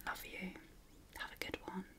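A British woman whispers in ASMR style with a breathy, unvoiced delivery. She offers affirmations informally, sounding encouraging and affectionate throughout. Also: normal speed, pushed and bright. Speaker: ASMR host.

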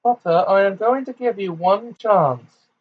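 A man voicing a cruel, fanatical adult witch in an audiobook, shrill, imperious and frantic. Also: fairly even intonation, unhurried, narrow, tinny audio. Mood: menacing, imperious.

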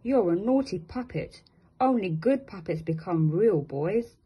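A woman's voice: mid-range voice, animated, warm tone, breathy edge. A woman voicing a scolding talking cricket in a children's storybook reading. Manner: scolding, slow and lecturing. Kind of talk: storybook read-aloud for children.

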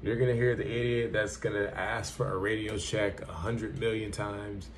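Low-voiced young man, casual and blunt. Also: fairly even intonation, moderate pace, a little hiss or hum. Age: young adult. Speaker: trucker giving advice in a vlog. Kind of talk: casual advice talk to camera. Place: small plain indoor room.

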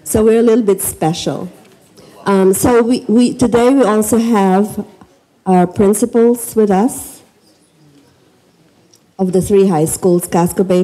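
A woman's voice: mid-range voice, dark tone, poor audio. A middle-aged woman with a Filipino accent, giving an informal welcome speech into a handheld microphone as an event host in a school library. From the Philippines.

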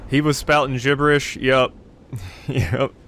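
Guy doing a lets play, thinking out loud, mid pitch, faint game noise behind. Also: slow pace. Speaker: let's play commentator. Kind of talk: casual gameplay commentary, thinking aloud. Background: game ambience.

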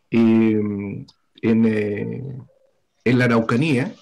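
A man's voice: mid-range voice, a little mellow. A middle-aged man hosting a political talk show from home on a video call with a headset, giving formal, wordy introductions full of fillers. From Chile. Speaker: host. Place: home room with bookshelves.

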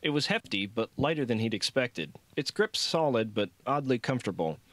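A man's voice: mid-range voice, animated, moderate pace, no room sound. A man reading scripted fiction as an audiobook narrator.

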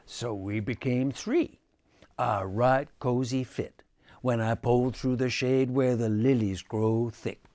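An older man reading a children's picture book aloud with a theatrical, drawn-out delivery. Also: medium pitch, fairly even intonation, a little mellow, dry recording. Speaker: storybook reader. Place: small furnished room indoors.

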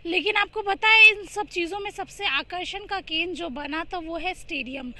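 A young woman reporting news from outdoors at a stadium, holding a handheld mic, talking quickly and earnestly with frequent filler phrases. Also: extremely high pitch, sharp tone. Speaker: field reporter. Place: outdoors at a large open stadium. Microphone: handheld mic in front of her.

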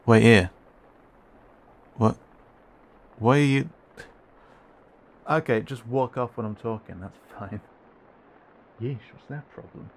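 A man voicing a cheeky, skittish young raccoon boy in an audio roleplay, muttering and grumbling with halting, sarcastic protests. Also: mid-range voice, slight background noise.

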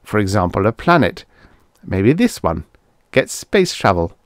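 A man's voice: lowish voice, very animated, unhurried, no echo, clean studio sound. A man playing an anxious space explorer in a science fiction audiobook, earnest and insistent as he pleads with urgency.

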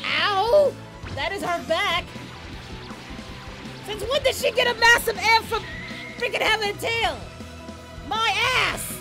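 Woman doing a high, brash, excitable cartoon hero. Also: slow, pauses often, music in the background, exaggerated voice. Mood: indignant. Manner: brash, crude, exclamatory. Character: excitable cartoon martial-arts hero, abridged parody man. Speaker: reaction channel host. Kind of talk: excited reaction commentary.

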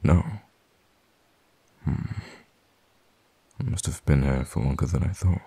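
Very deep, gravelly vampire hermit played by a man. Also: expressive, no room sound, heavily acted. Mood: hesitant, wondering. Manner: halting, musing, softly teasing. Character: mysterious vampire hermit, outwardly friendly, teasing, quietly menacing. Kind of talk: ASMR audio roleplay, intimate in-character monologue.

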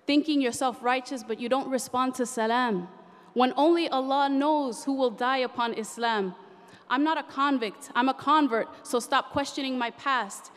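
A woman's voice: sits high, moderate pace. Impassioned woman reciting a poem onstage. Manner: rhythmic, impassioned, rhyming delivery. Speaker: spoken word poet. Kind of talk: performed spoken word poetry. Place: large convention hall stage. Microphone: podium microphone. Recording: reverberant convention hall.

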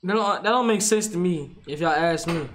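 A man's voice: a little high, brisk. A teenage boy watching a game with a friend at home, telling him what to click and do. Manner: bossy, directing the player. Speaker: co-player. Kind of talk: casual gameplay chat.

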